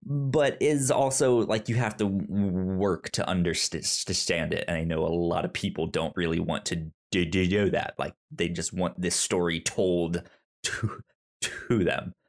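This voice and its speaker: A man with a stammer talking casually and haltingly as a podcast co-host discussing movies. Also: sits low, fairly even intonation. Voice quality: stammer, repeating sounds and words. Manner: halting, rambling. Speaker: podcast co-host reviewing films.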